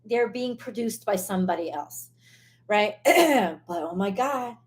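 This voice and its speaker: A hoarse woman hosting a live tarot stream, talking casually and bluntly, swearing and losing her train of thought as she vents. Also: mid-range voice, unhurried, a little mellow.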